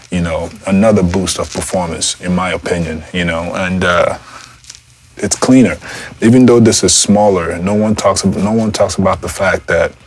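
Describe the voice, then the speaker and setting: A man with a deep voice giving a loose, rambling gear review indoors on a lavalier mic, full of fillers and restarts. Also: a little hiss or hum. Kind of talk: casual product review. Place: indoor room.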